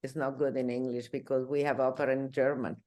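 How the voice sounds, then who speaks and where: An Italian woman interviewing a singer, speaking English with an Italian accent in an admiring way, often pausing to find her words. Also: very deep voice, little pitch movement, warm tone. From Italy.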